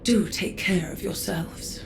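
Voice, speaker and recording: An actress playing a haughty woman envoy who speaks slowly in formal, condescending phrases. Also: fairly low pitch, creaky, noisy background. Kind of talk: game cutscene voice acting.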